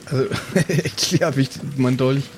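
A man's voice: mid-range voice, fairly even intonation, normal speed, background noise. A man chatting casually as a player in an improvised role-playing game. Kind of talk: casual improvised table talk. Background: background music.

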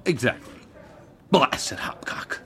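A man's voice: slow, whispered, close to an everyday voice. An actor playing a haughty, indignant businessman suspect with a pompous, blustering manner in a radio melodrama. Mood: grudging. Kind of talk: old-time radio melodrama acting.

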